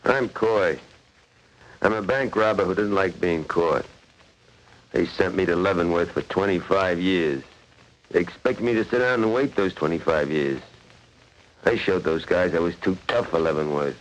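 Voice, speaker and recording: An actor playing a boastful, tough bank robber convict, speaking tersely in a 1940s radio drama monologue. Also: male voice, low-pitched, normal speed, long pauses, close to an everyday voice, poor audio. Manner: hard, bragging, terse. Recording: old radio broadcast, faint noise.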